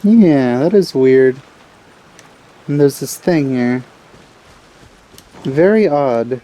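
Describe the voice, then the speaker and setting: A woman's voice: a bass voice, lively intonation, extremely slow, warm tone, poor audio. A woman giving casual let's play commentary, musing aloud as she reacts to the game. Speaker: let's player. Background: game music.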